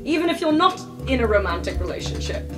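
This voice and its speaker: A woman acts out an earnest, awkward student in a roleplay podcast. The voice is fairly high and very animated, hesitant and a little formal, with music behind it. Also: fast. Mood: exasperated. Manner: hesitant, polite, slightly formal.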